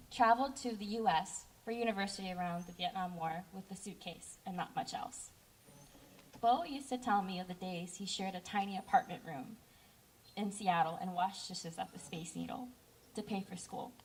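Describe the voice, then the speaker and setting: An earnest young woman delivers a personal speech to a camp crowd. Her voice sits mid-range and comes across a little distant from the microphone. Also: mid-range voice. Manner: heartfelt, earnest, with brief self-corrections. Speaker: camp director giving a speech.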